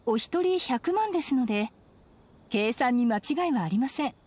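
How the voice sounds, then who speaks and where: A woman's voice: sits high, lively intonation, moderate pace, a little mellow, slight background noise. A woman voicing a polite, composed young clerk in a Japanese video game, speaking formally and courteously. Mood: courteous, businesslike. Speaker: inspection clerk.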